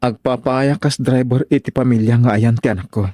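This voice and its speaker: A man's voice: medium pitch, fairly even intonation, brisk, mellow, no echo, clean studio sound, natural voice. A man playing an earnest, pleading father searching for his lost son in an audio drama. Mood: subdued. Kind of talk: amateur audio drama acting.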